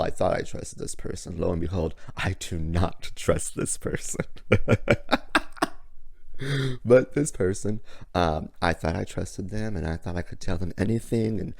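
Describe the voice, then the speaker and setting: A hoarse, raspy man hosts a motivational talk with sassy, theatrical flair, calling the listener honey and darling. His voice sits low and gravelly. The sound is close and dry, with no room around it. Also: a little mellow, dry recording. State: hoarse from illness. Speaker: podcast host. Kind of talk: motivational monologue.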